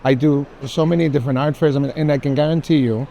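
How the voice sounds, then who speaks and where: A man's voice: fairly high pitch, fairly even intonation, quick pace, gravelly, warm tone. A middle-aged man, chatting casually and emphatically on an art fair tour, repeating himself for stress. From Mexico. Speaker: artist and art collector. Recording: faint urban ambient noise.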